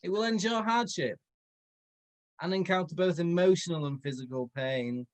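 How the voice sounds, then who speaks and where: A man's voice: sits high, normal speed. A man reading a comic story aloud in a theatrical manner, now and then correcting his own slips. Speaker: comedy performer reading fan fiction. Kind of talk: dramatic comedic story read-aloud.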